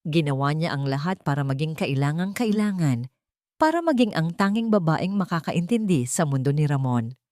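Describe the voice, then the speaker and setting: A woman with a Filipino accent narrating a scripted romantic drama in an expressive, emotive storytelling manner. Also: deep voice, normal speed, no room sound, studio quality.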